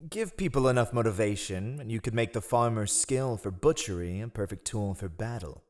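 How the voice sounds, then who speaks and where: A man's voice: on the low side, expressive, moderate pace, natural voice. A man playing a ruthless, sardonic spy master who advises a monarch with silky, mocking courtly formality in a fantasy audio roleplay. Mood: sly, assured.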